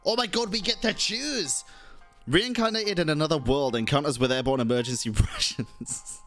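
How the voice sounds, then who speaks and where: A man's voice: a little high, moderate pace. A man streaming a game with casual, exclamatory live reactions, often talking to himself. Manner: excitable, exclamatory, talks to himself. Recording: game music under voice.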